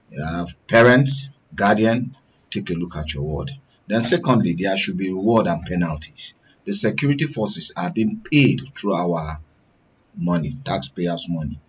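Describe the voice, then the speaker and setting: A man with a husky, somewhat low voice comments on a radio panel. He lays out his points plainly and prescriptively. The sound is a little muffled. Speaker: studio guest, media analyst.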